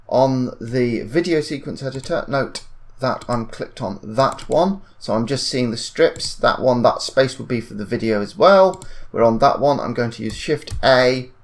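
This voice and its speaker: A man giving an informal software tutorial, thinking aloud and correcting himself in a hesitant way. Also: medium pitch, lively intonation, unhurried. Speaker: tutorial presenter.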